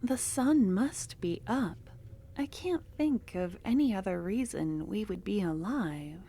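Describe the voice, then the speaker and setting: A woman voicing an obsessive, possessive female cultist lover in an ASMR roleplay, talking softly and slipping into whispers, tender yet menacing. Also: medium pitch, animated, a little slow. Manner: soft-spoken, drifting into whispers.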